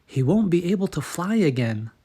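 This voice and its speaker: A man reads the part of a tender storybook father. He speaks softly and reassuringly, with the patient care of a parent comforting a young daughter. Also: on the high side, very animated, normal speed, breathy edge, pitched above the performer's own voice. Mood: soft regret. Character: gentle, consoling father of a young girl.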